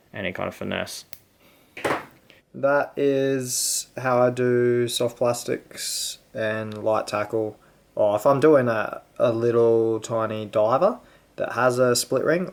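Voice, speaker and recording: Man, gravelly voice, laid-back slangy fishing host explaining knots. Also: lowish voice. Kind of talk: casual how-to demonstration.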